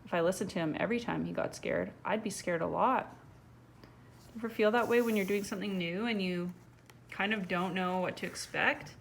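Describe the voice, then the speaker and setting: Female storyteller, mid-pitched, conversational children's read-aloud with chatty asides; homemade recording with some background noise. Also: normal speed, vocal fry. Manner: conversational, asks the listener direct questions. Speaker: storyteller reading a picture book to children.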